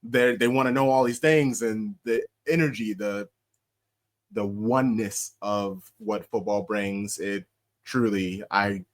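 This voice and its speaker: A young American man hosting a casual podcast, an animated storyteller who laughs often and shouts out quoted chants. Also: lowish voice, slow pace. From United States. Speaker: podcast host.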